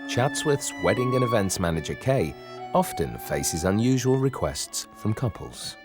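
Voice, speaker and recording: A man narrates a documentary in a measured, wry voice. His pitch is low but moves in a lively way. Background music plays clearly under the voice-over. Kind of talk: scripted narration.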